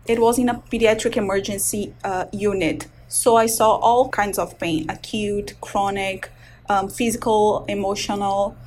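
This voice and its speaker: A woman's voice: medium pitch, flat delivery, measured pace, a little mellow, a little hiss or hum. A woman in her thirties from Brazil with a Brazilian Portuguese accent, a resident physician explaining her research in an interview with frequent hesitations. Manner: explains medical terms carefully with frequent hesitations. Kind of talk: interview answers.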